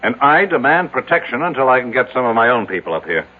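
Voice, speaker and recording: A man's voice: medium pitch, sing-song, fast talker. An actor playing a suspicious, demanding wealthy man in a radio drama, with an imperious, accusatory manner. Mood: accusing. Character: suspicious, demanding wealthy male city architect. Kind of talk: 1970s radio drama acting. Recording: muffled narrow old radio.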